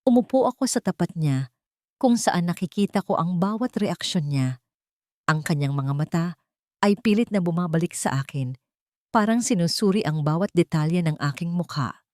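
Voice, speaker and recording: A Filipino woman narrates a dramatic first-person story in an audiobook style. Her voice sits on the low side, and the sound is very dry, with no room around it. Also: lively intonation, moderate pace, a little mellow, no echo.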